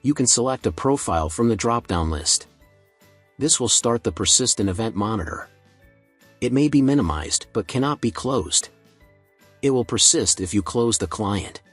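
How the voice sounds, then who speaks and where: A man reading a scripted software tutorial in a measured, procedural instructional manner. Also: mid-range voice, animated, husky, faint music behind.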